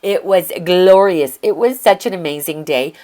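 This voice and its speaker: Chatty older woman with a low, slightly hoarse voice. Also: moderate pace, warm tone. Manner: chatty and gushing, with occasional throat clearing. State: slightly hoarse, recovering from illness. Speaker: junk journal crafter showing her journal. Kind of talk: casual flip-through narration.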